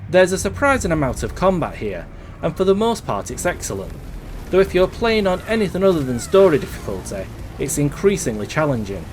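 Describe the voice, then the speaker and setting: A man reading a scripted video game review in a measured, articulate way, as a reviewer would. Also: a little high, big pitch swings, a little hiss or hum. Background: background music.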